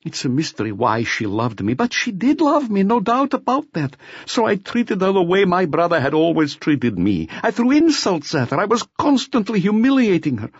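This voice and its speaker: A man's voice: high-pitched, wildly swooping pitch, moderate pace, breathy edge, no room sound, dull audio, pitched above the performer's own voice, exaggerated voice. A man voicing a bitter, self-loathing drunkard who confesses with agitated self-pity in an audiobook. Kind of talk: audiobook character acting.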